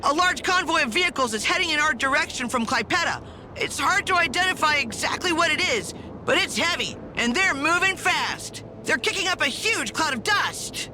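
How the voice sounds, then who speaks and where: Brisk, sardonic female army officer. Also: sits high, expressive, pushed and bright, a little hiss or hum, exaggerated voice. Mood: brisk, alert. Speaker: military officer. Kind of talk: scripted video game mission dialogue. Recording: music behind the voice.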